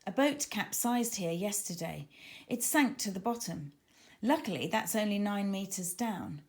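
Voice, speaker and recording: A calm boy marine biologist in a children's storybook, read by a woman. He sounds reassuring and explains things in an earnest, orderly way. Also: medium pitch, unhurried. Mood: matter-of-fact. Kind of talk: children's storybook character reading.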